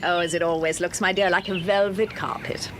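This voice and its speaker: An actress playing a long-suffering, gently chiding middle-aged British wife in a radio drama, coaxing as she talks. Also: on the low side, fairly even intonation, a little fast, noisy background, lightly acted. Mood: patient, chiding. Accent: British English. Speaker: actor.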